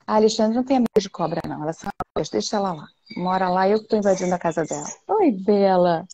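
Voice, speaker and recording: A middle-aged woman hosts a casual livestream from her living room, greeting viewers one by one. Her voice is dark and mellow, and she sounds effusive and affectionate. A faint noise hums behind her. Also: mid-range voice, expressive, fast, muffled recording. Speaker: host, voice teacher.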